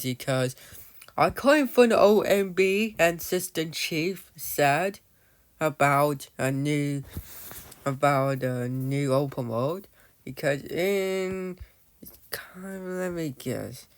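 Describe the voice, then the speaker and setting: A man's voice: on the high side, smooth. A man giving casual, rambling commentary on his game, often losing his thread and calling out to viewers as "guys". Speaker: gamer narrating his play.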